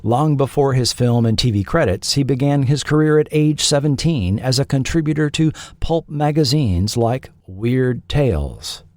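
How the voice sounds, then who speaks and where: A man hosting and narrating a scripted documentary feature in a measured, articulate way. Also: mid-range voice, animated, darkish tone, no room sound, clean studio sound. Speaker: podcast host and narrator.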